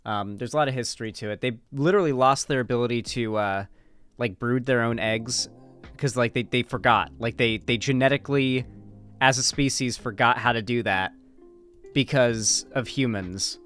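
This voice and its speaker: A man's voice: mid-range voice, normal speed, fairly bright tone. A man hosting a livestream, talking in a casual, informal and chatty way. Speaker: livestream host. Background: background guitar music.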